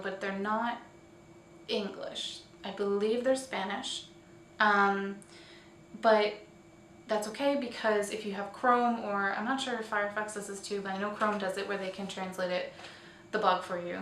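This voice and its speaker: A woman presents a casual online roundup in an informal, chatty way, a little tentative and quick to correct herself. She sounds set back from the microphone in an echoey room, with faint noise underneath. Her voice sits at a middle pitch. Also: fairly bright tone. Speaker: host of a weekly online roleplay community show. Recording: echoey room, distant mic, faint hiss.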